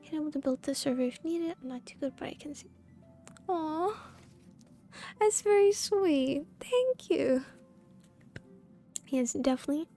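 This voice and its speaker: A woman's voice: sits high, warm tone. A woman chatting casually as she live streams a game, giggly and meandering.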